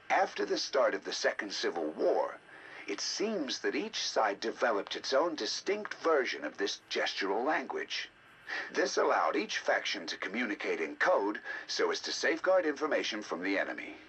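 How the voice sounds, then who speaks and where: An actor playing a learned, eager researcher, narrating game audio logs in a measured, articulate, intrigued manner. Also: male voice, on the low side, sing-song, a bit bright, a little hiss or hum, low-quality recording. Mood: measured, scholarly. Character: learned male researcher, eager and fascinated by alien ruins.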